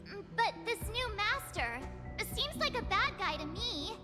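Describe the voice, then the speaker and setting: Cute little fairy sidekick, childlike and chirpy, extremely high, over loud music. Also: female voice, big pitch swings, measured pace, full character voice. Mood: curious. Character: small childlike floating fairy companion, naive and chatty. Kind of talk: video game voice acting.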